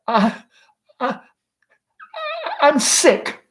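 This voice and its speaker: Man acting painfully shy, anxious young woman, high-pitched. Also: very slow, fairly bright tone, heavily acted. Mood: desperate pleading. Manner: breathless, hesitant, pleading.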